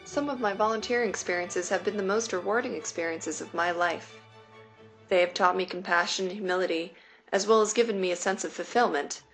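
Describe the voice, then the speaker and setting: A woman in her late twenties from New York City, raised on Mandarin Chinese, reads a personal essay in a measured, deliberate way. Her voice carries a steady vocal fry at a middle pitch, and soft music plays faintly underneath. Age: late 20s. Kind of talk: reading a personal essay aloud.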